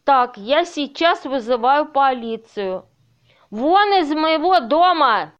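A high-pitched, slow-spoken woman played by an actress in a radio play. She is trusting and easily flustered, distraught about her home. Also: lively intonation, dull audio. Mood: indignant. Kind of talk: amateur audio play acting.

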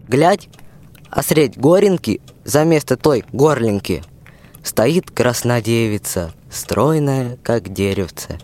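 A man's voice: mid-range voice, darkish tone. A young man narrating a rhymed folk tale as the storyteller of a radio play.

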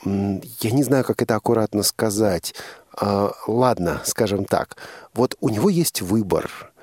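A man's voice: on the low side, lively intonation, unhurried, a little mellow, slightly rough, dry recording, studio quality. A man hosting a conversational radio interview, talking in a discursive, opinionated way and qualifying his own remarks. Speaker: radio host. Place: radio studio.

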